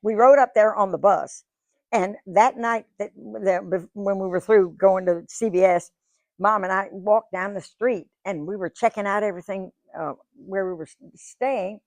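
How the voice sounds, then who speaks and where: A woman's voice: medium pitch, normal speed, a little mellow, no echo. Chatty storytelling woman in her nineties. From Georgia, USA. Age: 90s. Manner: folksy, chatty storyteller who acts out quoted dialogue. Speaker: guest speaker. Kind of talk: storytelling to a live audience. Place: museum event room.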